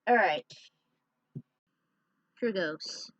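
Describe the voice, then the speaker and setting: Unhurried woman, close and dry. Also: mid-range voice, lively intonation, no room sound, lo-fi sound. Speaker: host and fan fiction reader. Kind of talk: casual commentary and scripted story reading.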